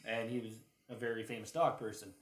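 A man in casual podcast discussion, explaining history as a co-host and piecing his thoughts together haltingly. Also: fairly low pitch, little pitch movement. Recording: roomy, echoey sound.

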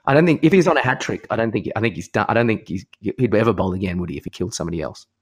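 Lower-pitched Australian man chatting casually. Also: animated, racing, husky. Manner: opinionated, informal, sweary. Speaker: podcast co-host. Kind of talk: casual chat about sport.

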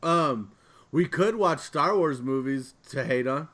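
A man's voice: mid-range voice, expressive, slow pace, a bit bright. A man co-hosting a podcast, talking in casual, informal chat. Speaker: podcast co-host.

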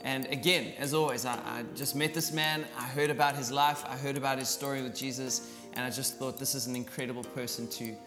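A man's voice: medium pitch, fairly even intonation, a little fast, few pauses. A man hosting a podcast, upbeat and friendly, with lots of ums. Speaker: podcast host. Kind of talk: casual interview hosting. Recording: echoey room with faint music.

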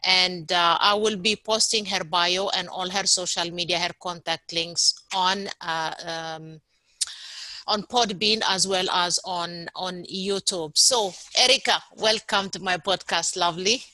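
Woman podcast host, upbeat, crisp bright tone, dry recording. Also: fairly low pitch, steady tone, measured pace, no echo, poor audio. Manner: upbeat, repeats words for emphasis. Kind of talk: podcast interview.

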